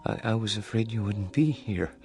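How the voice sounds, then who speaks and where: Hesitant, low-voiced meek man, played by an actor. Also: normal speed, darkish tone, close to an everyday voice. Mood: tender, wistful. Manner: hesitant, stammering, polite. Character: meek, awkward grown son, secretive and lonely dreamer. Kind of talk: radio drama acting. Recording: music bed under the dialogue.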